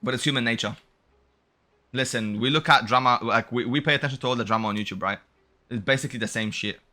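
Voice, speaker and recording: A man's voice: medium pitch, quick pace. A man doing casual, slangy gameplay commentary, reading dialogue aloud and reacting with animation as he streams. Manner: slangy, animated reactions, stumbles over foreign names. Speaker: game streamer playing and reacting.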